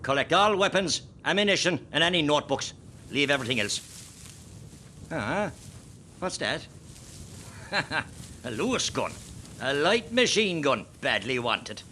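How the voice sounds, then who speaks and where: A man acting as an Irish rebel officer in a war radio play. His forceful voice swings widely as he hands out terse commands, with some battle noise behind him. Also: on the high side, very animated, measured pace, pauses often, exaggerated voice. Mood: proud, brisk.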